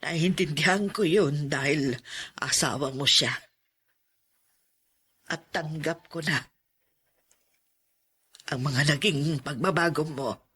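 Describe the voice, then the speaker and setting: A woman's voice: sits low, slow, pauses often, a bit bright, slightly rough, heavily acted. A woman playing an older aunt in an audio drama, hurt and reproachful yet loving, plaintive and emotional. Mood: resigned. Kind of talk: melodramatic radio-style drama acting.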